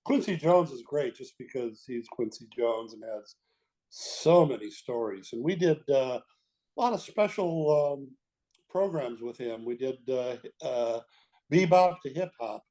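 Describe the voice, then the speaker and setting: Older man with a mellow voice. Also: medium pitch, lively intonation, a little slow, rough recording. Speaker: guest, veteran radio executive. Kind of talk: reminiscing interview talk.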